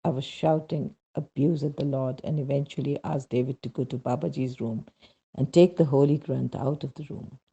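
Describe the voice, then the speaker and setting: A very dark, mellow voice reads a devotional testimony aloud. It is heavily creaky and quite husky, with emphatic, dramatic phrasing that sets each short phrase apart. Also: medium pitch, fairly even intonation, moderate pace, highs cut off. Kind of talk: scripted narration of a devotional testimony.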